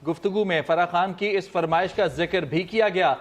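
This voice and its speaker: A male reporter reads a scripted news voice-over. His voice sits high for a man. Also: steady tone, fairly quick.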